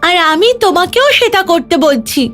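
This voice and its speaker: A woman's voice: extremely high pitch, animated, unhurried, fairly bright tone, faint music behind, exaggerated voice. A woman voicing a small, hardworking, earnest ant in a children's cartoon story, speaking politely.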